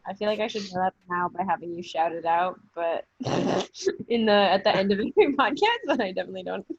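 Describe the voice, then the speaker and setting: A woman's voice: medium pitch, expressive, brisk, breathy edge, no room sound. Woman, casual chat, slightly muffled. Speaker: podcast cast member. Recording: muffled, dull lo-fi podcast audio.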